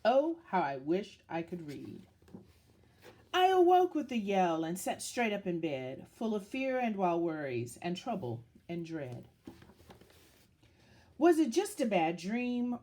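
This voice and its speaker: A woman reads a picture book aloud for children. Her delivery is slow and deliberate, with lively swings of pitch that dramatize the tale for young listeners. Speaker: children's story reader.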